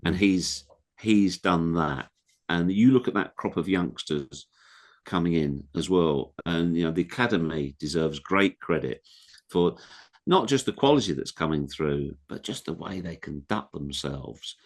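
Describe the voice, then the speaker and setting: A man's voice: low-pitched, animated, a little slow. A man talking as a guest on a football interview show, earnest and reflective, stressing his points. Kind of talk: casual interview chat.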